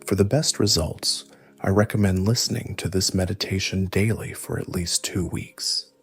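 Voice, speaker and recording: A man narrating a scripted guided meditation, giving gentle instructions in a soothing, measured way. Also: low voice, creaky.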